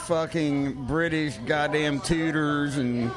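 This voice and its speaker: A man's voice: on the high side, steady tone, slow. An American man chatting casually in a crude, dismissive, grumbling way with plenty of swearing. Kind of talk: casual chat. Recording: loud crowd chatter behind. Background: busy restaurant crowd.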